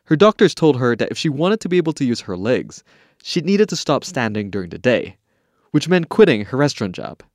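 A man's voice: medium pitch, very animated, a little fast, no room sound, studio quality. A man hosting a podcast and reading scripted documentary narration.

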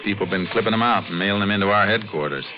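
An actor playing a steady, terse Texas Ranger investigator in a 1950s western radio drama. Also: male voice, on the low side, very fast, noisy background, lightly acted. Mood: firm, calm. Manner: terse, understated. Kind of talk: 1950s western radio acting and first-person narration. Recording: dull old 1950s radio recording.

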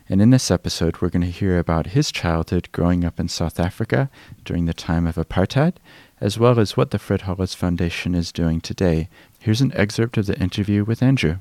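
A man hosting a podcast, reading a scripted introduction and then asking gentle, hesitant questions. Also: low-pitched, no room sound. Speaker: podcast host.

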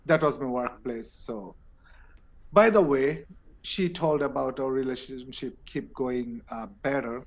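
A man's voice: medium pitch, measured pace, poor audio. A man with a Bangladeshi English accent, telling his story as a guest in a casual interview and laughing between points.

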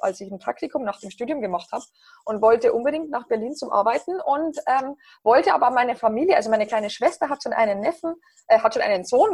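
A woman in her thirties with a Bavarian accent, chatting as a podcast guest in a relaxed, upbeat way. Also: fairly high pitch, breathy edge. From Bavaria, near Passau. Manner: chatty, many fillers, upbeat. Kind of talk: casual interview chat.

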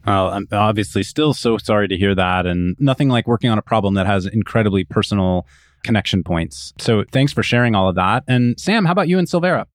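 A man's voice: on the low side, a little fast, no echo, studio quality. A man hosting an interview, talking conversationally as he moderates a discussion. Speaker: podcast host and moderator.

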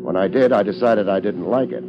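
An actor playing a laid-back, wisecracking private detective in a 1950s radio drama, with a wry, deadpan manner. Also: male voice, fairly low pitch, fairly quick, close to an everyday voice. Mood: wry narration. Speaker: lead actor and narrator. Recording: muffled old radio recording with music.

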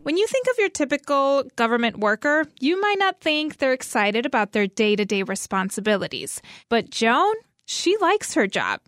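Woman narrator, lively and fairly high, dry studio, soft music bed. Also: normal speed, no echo. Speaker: radio host. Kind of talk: scripted radio narration.

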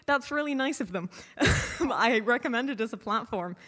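A woman's voice: fairly high pitch, expressive, moderate pace, fairly bright tone. A woman speaking as a panel guest, giving informal advice in a wry, casual way. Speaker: panel guest, podcast creator. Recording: echoey hall with long reverb.

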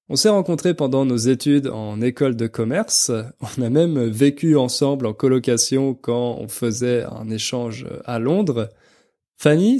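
A man's voice: mid-range voice, darkish tone. A young adult man with an accent from France presents a podcast for language learners, explaining things didactically. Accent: French, from France. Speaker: podcast host and French teacher.

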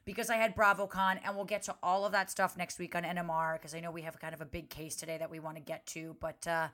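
A man co-hosting a podcast in casual chat, his voice hoarse and strained, talking in a tired, rambling way. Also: on the high side, level tone, fast talker, sharp tone. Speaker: podcast co-host.

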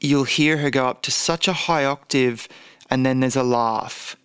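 A man's voice: mid-range voice, fairly even intonation, measured pace, a bit bright, dry recording. A man co-hosting a casual chat, talking with gushing enthusiasm. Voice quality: says he has a croaky voice. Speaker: podcast co-host.

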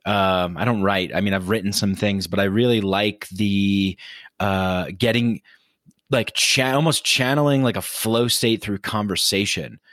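A man hosting a podcast speaks in a lowish voice, recorded close and dry with no room echo. He talks haltingly, repeating words as he searches for what he wants to say. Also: steady tone, a bit bright. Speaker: podcast host. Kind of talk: casual podcast conversation.

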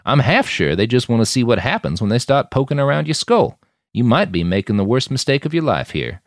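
A man's voice: mid-range voice, sing-song, fairly quick, dry recording, voice pushed up. A man acts out a sly, cynical mercenary boss from an audiobook. He is blunt and scoffing, swinging animatedly through his lines in a close, dry sound. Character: sly, cynical, foul-mouthed male mercenary boss, long-lived. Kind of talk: audiobook character dialogue.

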